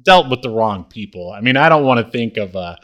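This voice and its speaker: A man's voice: medium pitch, lively intonation, fairly quick, a bit bright. Male podcast co-host, mid-range voice, easygoing and hesitant, casual conversational recap. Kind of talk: casual chat, recapping a TV show.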